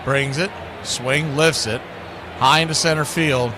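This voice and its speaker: Male play-by-play caller, folksy and fond of nicknames, fairly high voice, noisy ambient backdrop. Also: steady tone, unhurried. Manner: folksy, uses nicknames and catchphrases. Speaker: baseball play-by-play announcer. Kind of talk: live sports commentary.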